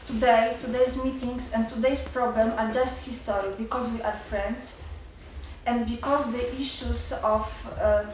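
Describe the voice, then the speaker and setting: A young woman with a Polish accent, a student giving nervous, halting remarks at a seminar with many restarts. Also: fairly high pitch, fairly even intonation, a little mellow, heavy reverb, recorded from a distance, a little hiss or hum, rough recording. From Poland. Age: young adult. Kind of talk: nervous public remarks in a second language.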